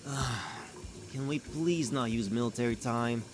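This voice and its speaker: A man's voice: mid-range voice, measured pace, heavy background noise, close to an everyday voice. A man playing a weary, grumbling station doctor in a scripted audio drama, hesitant and easily exasperated.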